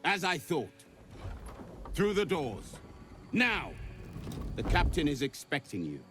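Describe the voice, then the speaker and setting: A man's voice: fairly high pitch, big pitch swings, slow, a bit bright, soft background music, exaggerated voice. A man acting a harsh guard in a video game, barking curt, commanding orders. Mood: menacing, commanding.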